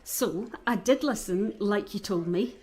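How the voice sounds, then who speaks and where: A sensitive, troubled Scottish island woman in an audio drama. Her delivery is earnest and expressive, at a middling pitch for a woman. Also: a little slow, husky. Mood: urgent, incredulous.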